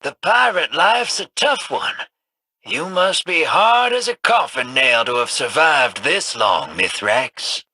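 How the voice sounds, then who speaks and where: An actor voicing a brash, swaggering pirate in a video game, with a husky voice. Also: male voice, on the high side, lively intonation, takes it slowly, sharp tone, no room sound, exaggerated voice.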